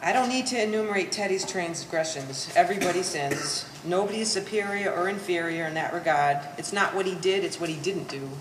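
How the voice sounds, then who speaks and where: A woman's voice: fairly low pitch, fairly quick, few pauses, natural voice. Woman playing a sardonic, bookish daughter, echoey hall. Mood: dry, disapproving. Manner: pompous big words, dry and precise. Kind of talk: one-act stage play performance. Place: art gallery, live staged reading. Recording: reverberant gallery hall, faint hiss.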